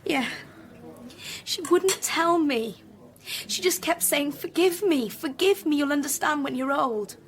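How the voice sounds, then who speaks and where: A woman's voice: sits high, lively intonation, unhurried. A woman acting in a radio drama as an earnest teenage girl, grieving and suspicious. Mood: upset, earnest.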